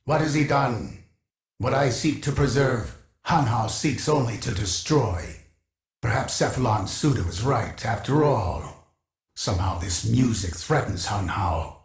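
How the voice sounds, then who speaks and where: A man playing a haughty, obsessive artificial intelligence archivist in a video game, speaking in a formal, measured, commanding way. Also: medium pitch, gravelly. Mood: grave, reluctant. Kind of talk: scripted video game character dialogue. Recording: echoing, reverberant processed game voice.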